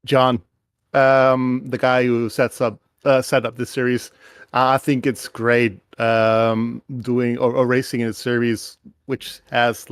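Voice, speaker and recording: A non-native English-speaking man in a post-race interview. His mid-pitched, smooth voice stays flat and even as he pauses to find his words. He is close on the mic, and the sound is dry. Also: no echo. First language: not English. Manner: hesitant, searching for words. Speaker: guest driver.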